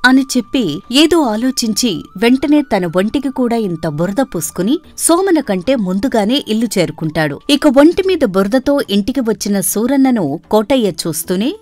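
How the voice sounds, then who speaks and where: A woman narrating a scripted animated story as a storyteller. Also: on the high side, moderate pace, faint music behind.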